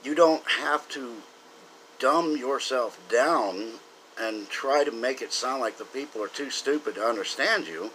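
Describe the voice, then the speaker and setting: A man's voice: medium pitch, expressive, a little slow, pushed and bright, slight background noise, poor audio. An American man from the South giving an earnest, opinionated, unscripted political monologue to camera, speaking emphatically. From southern United States. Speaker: political commentator.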